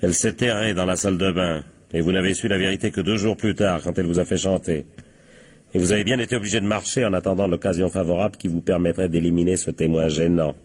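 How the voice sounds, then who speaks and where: A man playing a shrewd, ironic police captain who deliberately corners suspects in a French radio crime drama. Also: low-pitched, fairly even intonation, slightly rough. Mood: triumphant. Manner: deliberate, ironic, teasing rhetorical questions.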